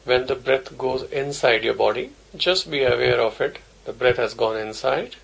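Gravelly, husky man guiding meditation. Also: medium pitch, moderate pace, fairly bright tone, low-quality recording. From India. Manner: instructional, repeats short simple phrases. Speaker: meditation teacher. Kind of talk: guided meditation instruction.

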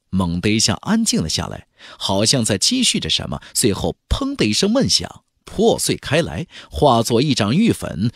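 A man narrating a fantasy audiobook as a dramatic, expressive storyteller. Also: on the low side, husky, no room sound, studio quality.

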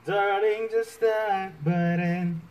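A playful man in his thirties from the Philippines belts a love song into a vase as if it were a megaphone. His voice sits high and he takes his time with the words. The phone mic catches a roomy echo from the big home decor store around him. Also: fairly even intonation, slow pace. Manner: goofy, sings loudly through a vase like a megaphone. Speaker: vlogger. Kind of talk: playful singing vlog. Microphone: phone camera, often held at arm's length.

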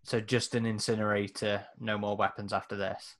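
Low-voiced man in casual game chat. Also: level tone, normal speed, fairly bright tone. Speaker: tabletop game player.

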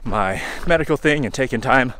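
A man's voice: mid-range voice, normal speed. Laid-back man vlogging on a forest trail. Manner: relaxed, rambling, thinking aloud with fillers. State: sweaty and hot while hiking. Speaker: hiking vlogger. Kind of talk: casual vlog talk to camera. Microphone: handheld camera held at arm's length.

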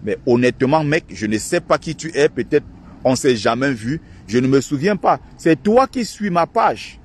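Husky man ranting outdoors by a lake. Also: expressive. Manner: indignant, ranting and mocking, quick shifts in pitch. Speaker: online activist and channel host. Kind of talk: outdoor vlog monologue.

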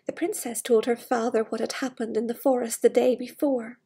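A woman's voice: a little high, level tone, fairly quick, creaky, dark tone, breathy edge. A woman around her forties telling a picture-book story to young children, with exclamations and wondering asides. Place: bright living room with large glass doors.

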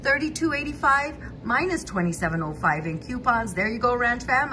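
Woman listing coupon deals, lively pitch. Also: background noise. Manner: methodical, lists prices and coupons step by step. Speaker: couponing channel host. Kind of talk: voice-over walkthrough of coupon deals.

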